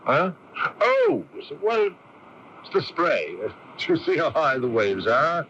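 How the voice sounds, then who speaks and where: A man's voice: on the high side, huge pitch swings, takes it slowly, creaky, fairly bright tone, slight background noise, exaggerated voice. An actor in a radio drama playing a nervous, evasive Irish patriot, stammering and hesitant as he speaks. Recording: dull lo-fi radio broadcast tape.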